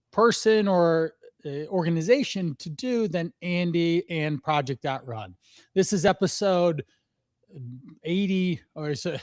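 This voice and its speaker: A man's voice: high voice, no echo, clean studio sound. A man in his forties hosting a podcast, chatty and upbeat, laughing easily as he talks. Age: 40s. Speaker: podcast host, fitness coach. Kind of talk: casual podcast conversation.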